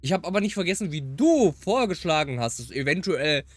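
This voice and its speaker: A man's voice: a little high, sing-song, fairly quick, dry recording, soft background music. A man who is a native German speaker, giving commentary as he plays through a visual novel. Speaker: streamer playing and reading out a visual novel. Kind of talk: game commentary and dramatic read-aloud.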